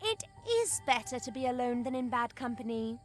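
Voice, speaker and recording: A calm, wise light conscience offers proverbs in a higher voice with a lively melody. She is the gentle guiding voice of a video game. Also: moderate pace, soft background music.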